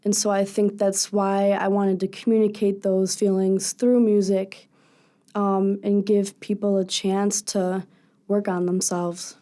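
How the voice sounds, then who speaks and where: A young woman speaks with a low, raspy voice. She is a singer guesting on a radio show, and she answers openly and earnestly in long, thoughtful stretches. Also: level tone, a little slow, mellow. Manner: earnest, candid, talks in long thoughtful runs. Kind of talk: casual radio interview. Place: radio studio.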